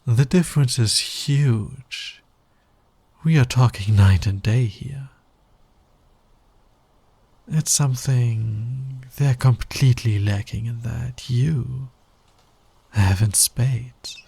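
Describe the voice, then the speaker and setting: A man playing a sly, teasing, dominant fantasy villain in an intimate ASMR roleplay, breathy and husky, often near a whisper. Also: mid-range voice, mellow, no echo, exaggerated voice. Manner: slow, teasing, deliberate delivery. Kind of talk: intimate ASMR roleplay, romantic audio drama.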